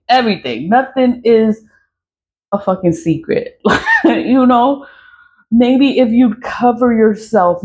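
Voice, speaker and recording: A woman's voice: fairly low pitch, a little mellow. A woman in her mid-forties ranting casually to her followers, blunt and profane, laughing often between points. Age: 40s. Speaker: social media creator. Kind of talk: casual vlog rant.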